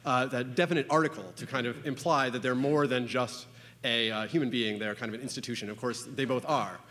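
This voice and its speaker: A man giving an informal conference talk, halting and full of "uh" and "you know", with wry asides. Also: mid-range voice, steady tone, a bit bright, slight background noise. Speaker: conference speaker. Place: conference hall. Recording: long reverb of a lecture hall.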